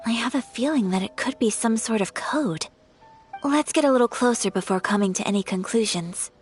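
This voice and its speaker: A woman voicing a poised, thoughtful young noblewoman in a video game, speaking in a measured, polite, carefully articulated way. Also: on the high side, animated, lightly acted. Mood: thoughtful, resolved. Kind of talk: English video game voice acting. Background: game music.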